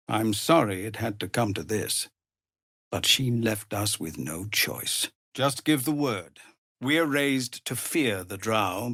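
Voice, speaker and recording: An actor playing a weary, honourable tiefling ex-paladin leading refugees in a fantasy game, husky and breathy, with grave, measured, formal delivery. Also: male voice, on the low side, a bit bright, no room sound, studio quality, exaggerated voice. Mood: solemn, earnest. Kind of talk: dramatic fantasy game voice acting.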